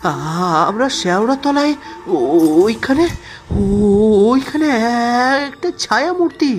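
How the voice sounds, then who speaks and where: Terrified villager played by a woman, slow, stammering, very animated pitch, cartoon horror. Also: soft background music. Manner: halting, stammering. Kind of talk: Bengali cartoon horror story acting.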